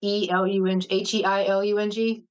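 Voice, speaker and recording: A woman's voice: medium pitch, little pitch movement, fairly quick, clean tone, lo-fi sound. A woman chatting casually and informally as part of a group conversation. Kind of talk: casual chat.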